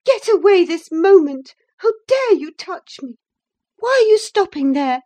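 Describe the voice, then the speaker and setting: Woman playing a haughty, high-voiced, scornful young lady. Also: animated, normal speed, dark tone. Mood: disgusted, angry. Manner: imperious, disdainful, biting. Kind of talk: dramatised audiobook reading of a classic novel.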